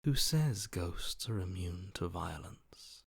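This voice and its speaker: An actor playing a cold, detached and calmly violent inner voice in a video game, deadpan, deliberate and hushed. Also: male voice, sits low, creaky, husky, no echo, clean studio sound, full character voice. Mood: cold menace. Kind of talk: video game character acting.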